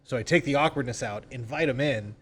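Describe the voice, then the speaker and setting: A man's voice: mid-range voice, quick pace, breathy edge. A man speaking as a real estate agent in a conversational podcast interview, informally explaining and acting out example sales calls. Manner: informal, role-plays example phone calls.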